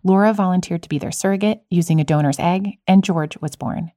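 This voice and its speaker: Woman hosting a podcast, soft dark tone, really dry studio sound, narrating and interviewing. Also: on the low side, fairly quick, no room sound.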